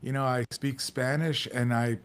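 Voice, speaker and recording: Earnest halting man in casual talk. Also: medium pitch, fairly even intonation, clean tone. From United States. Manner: halting, many restarts and fillers, earnest. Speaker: podcast co-host. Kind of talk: casual community talk show chat.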